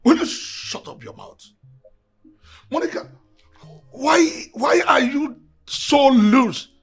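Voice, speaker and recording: An actor with a Nigerian accent playing an angry, jealous husband and household boss, shouting and scolding in a film drama. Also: male voice, extremely high pitch, very animated, moderate pace, soft background music, exaggerated voice. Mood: outraged, suspicious.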